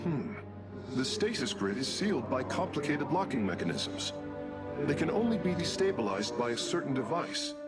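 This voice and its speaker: A man playing a loyal alien engineer in a video game, precise and deferential. His medium-pitched voice moves around a lot over loud music. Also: big pitch swings, normal speed, close to an everyday voice. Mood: dutiful. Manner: precise, polite, technical. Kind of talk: dramatic video game cutscene acting.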